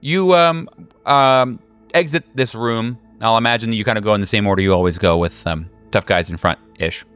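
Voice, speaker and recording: A man with a fairly low, smooth voice narrates a tabletop horror game as game master. He improvises casually and haltingly, with slangy, joking turns, over faint background music. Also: a bit bright.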